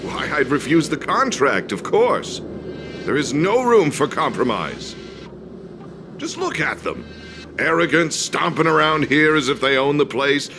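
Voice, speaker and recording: An actor playing a principled, dutiful, uncompromising man with a formal, emphatic delivery in a game. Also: a little high. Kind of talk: game cutscene voice acting.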